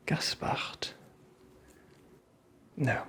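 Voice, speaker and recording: A creepy little male imp acted out by a performer whispers slowly, in a sly and taunting way. The breathy delivery is vain and condescending, drawing out phrases as in a fairy-tale ASMR roleplay. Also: a bit bright. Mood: sly, teasing. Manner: slow, teasing, condescending, drawn-out phrasing.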